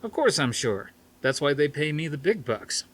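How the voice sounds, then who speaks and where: A man reading a storybook as an excitable, insistent male researcher with a loud, eager, emphatic delivery. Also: on the high side, normal speed, voice pushed up. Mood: exasperated.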